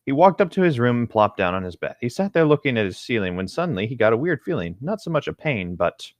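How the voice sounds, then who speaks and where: A man's voice: mid-range voice, big pitch swings, quick pace, no room sound. A young man reading a story aloud and chatting casually as a co-host. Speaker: co-host of a comedic creepypasta reading.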